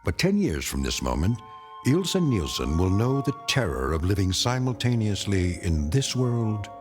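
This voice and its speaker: A grave male narrator introduces eerie radio tales in a low voice. His delivery is measured and portentous, swinging expressively in pitch, with music clearly underneath. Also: big pitch swings. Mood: grave, ominous. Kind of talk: dramatic scripted radio drama narration.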